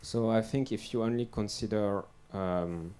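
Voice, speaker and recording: A man's voice: on the low side, a little slow, mellow, clean tone. A young man on a robotics panel, answering hesitantly with many fillers and restarts.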